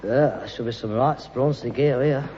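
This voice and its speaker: A man's voice: medium pitch, moderate pace, natural voice. An actor playing a grumbling, pretentious London rag-and-bone man, an exasperated grown-up son, in a 1960s radio sitcom on a dull, noisy old recording. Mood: bossy, pompous. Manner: muttering, exasperated, putting on airs.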